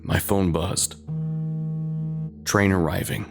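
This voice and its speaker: A man narrating a scripted first-person horror tale in short, halting phrases with an ominous, dramatic manner. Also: sits low, fairly even intonation, gravelly, over loud music.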